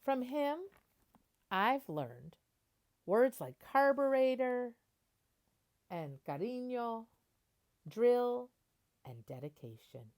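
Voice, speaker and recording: Woman reading aloud, very lively pitch. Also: drawn-out words, pauses often. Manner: expressive, explains words simply. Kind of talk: children's picture book read-aloud with explanations.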